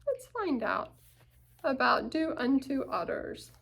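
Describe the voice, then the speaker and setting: A woman's voice: sits high, sing-song, slow pace, vocal fry, a bit bright. A woman reading a picture book interactively to young children, asking questions and adding little playful asides. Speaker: children's story time reader.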